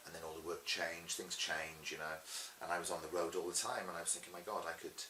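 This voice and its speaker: A middle-aged man with a Welsh accent, chatting casually as an interviewed stage performer and telling stories in a lively, chatty way. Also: deep voice, fast. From Swansea, Wales. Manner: chatty, animated retelling with acted-out quotes. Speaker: pantomime dame and cabaret performer being interviewed. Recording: roomy echo with faint hiss.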